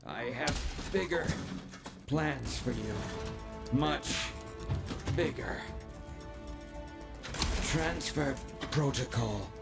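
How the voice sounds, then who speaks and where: Slow, gravelly robot villain. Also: male voice, mid-range voice, animated, heavily acted. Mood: damaged, defiant. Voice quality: gravelly, synthetic. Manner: slow, deliberate, ominous. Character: menacing male robot villain, cold, grandiose, bent on conquest. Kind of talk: video game villain voice acting. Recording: loud music under the voice.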